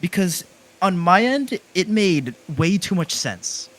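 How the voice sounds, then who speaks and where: A man's voice: sits high, big pitch swings, takes it slowly. A young man in casual conversation, slangy and emphatic in how he makes his points. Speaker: streamer's guest on a call, fellow YouTuber. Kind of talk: casual chat.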